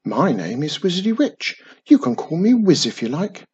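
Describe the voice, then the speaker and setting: A storyteller voicing Wizardy Witch, a cheerful young witch who is proud of how fast she is, in a high, quick, eager voice. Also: very animated, a little mellow, slightly rough, dull audio. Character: cheerful young witch, boastful about her speed. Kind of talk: storybook reading.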